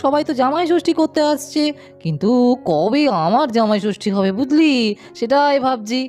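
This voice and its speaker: A woman plays a cheeky, daydreaming little boy in a doll cartoon. The voice is high and animated, explaining things emphatically over music. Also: normal speed. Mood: cheeky, wishful. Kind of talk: children's doll-story cartoon acting.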